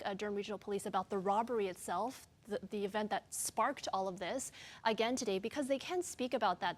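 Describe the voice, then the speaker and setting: A woman with a Canadian accent delivering a broadcast news report as a reporter. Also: medium pitch.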